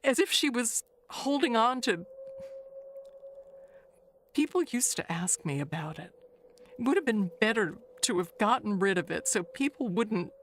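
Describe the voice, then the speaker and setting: An actress playing Agnes as a chatty, nervous, wistful middle-aged neighbor in an audio mystery, halting and rambling. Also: female voice, fairly high pitch, animated, a little hiss or hum. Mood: wistful gossip. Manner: halting, rambling, trailing into hushed musing. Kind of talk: dramatic monologue in an audio mystery play.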